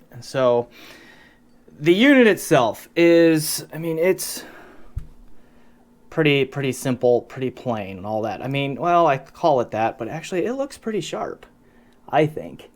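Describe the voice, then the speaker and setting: A man's voice: mid-range voice, sing-song, normal speed. A man hosting an audio gear review in a casual, conversational, loosely explanatory way. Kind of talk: casual product review to camera.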